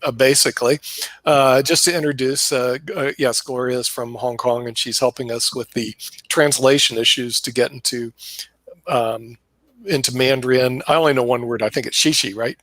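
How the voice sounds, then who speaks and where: A man's voice: medium pitch, fairly even intonation, normal speed, fairly bright tone, no echo. Man, host, video call chat, rambling, fillers and restarts, dry close sound.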